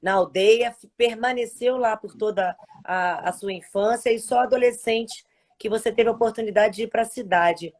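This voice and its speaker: A woman's voice: a little high, moderate pace, darkish tone. A woman hosting a live video interview, leading her guest with friendly questions and frequent tag questions. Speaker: host. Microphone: video call.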